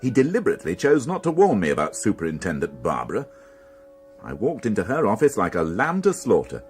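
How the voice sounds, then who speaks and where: A man's voice: on the low side, huge pitch swings, fairly quick, faint music behind. A man acting in a radio drama as a dry, grumbling police inspector, wry and easily indignant. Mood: wry, offhand. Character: dry, grumbling male police inspector, mildly old-fashioned. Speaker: actor.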